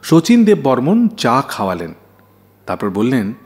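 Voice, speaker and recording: A man's voice: mid-range voice, huge pitch swings, unhurried, darkish tone. A man hosting a radio show, telling scripted anecdotes in a dramatised, storytelling manner. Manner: dramatised anecdote telling, acting out quoted dialogue.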